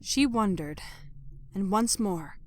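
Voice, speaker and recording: A woman reads a dramatic scripted story as narrator, letting phrases fall into a hushed breath. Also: medium pitch, expressive, takes it slowly. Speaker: narrator of a fan fiction audio production. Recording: background music under voice. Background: music.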